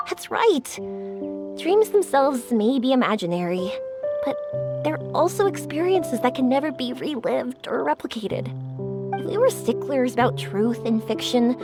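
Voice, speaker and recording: A woman playing a cheerful, sincere young woman in an anime video game, expressive and bubbly. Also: high-pitched. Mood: wistful, delighted. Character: cheerful, warm-hearted young woman fireworks maker. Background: music.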